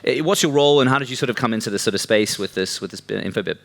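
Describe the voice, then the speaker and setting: A chatty man hosts a live stage talk show, joking with his guest and asking the audience for a show of hands. His voice sits at an ordinary middle pitch. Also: very fast, creaky, fairly bright tone. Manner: chatty, jokey, works the crowd. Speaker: show host.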